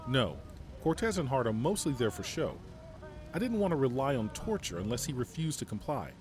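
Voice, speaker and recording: A commanding general, voiced by a man in an audio drama, giving crisp, authoritative orders. His pitch moves a lot as he talks. A faint hiss of noise sits behind him. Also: very animated, brisk, a little mellow, lightly acted. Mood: calm. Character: commanding male general leading troops. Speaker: voice actor. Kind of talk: fan audio drama acting.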